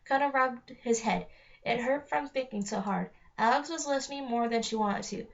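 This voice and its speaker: A woman's voice: on the high side, moderate pace. A woman narrating a children's story aloud, reading from the text with an occasional stumble. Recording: dull lo-fi home recording.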